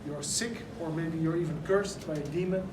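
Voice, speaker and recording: A man's voice: fairly high pitch, normal speed. Man explaining earnestly. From Netherlands. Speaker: event organizer. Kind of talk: press conference talk. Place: large indoor venue. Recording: echoey room, mic far off, noisy.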